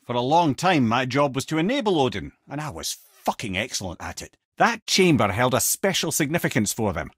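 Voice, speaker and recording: An old, learned Scottish advisor from a fantasy video game. His voice is husky and swings expressively up and down as he spins tales with wry, colloquial eloquence. The sound is dry, with no room around it. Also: mid-range voice, a bit bright, no room sound. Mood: earnest. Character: witty, learned old severed-head advisor and storyteller. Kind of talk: video game character dialogue and storytelling.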